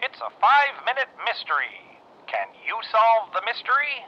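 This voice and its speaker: Super noisy old radio sound, guy doing a dramatic mystery announcer, pitch all over the place. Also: huge pitch swings, normal speed, lo-fi sound, exaggerated voice. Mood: suspenseful, challenging.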